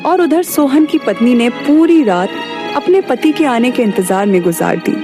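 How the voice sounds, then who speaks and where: A woman's voice: on the high side, animated, normal speed, music in the background. A woman narrator reading a scripted moral story for a cartoon.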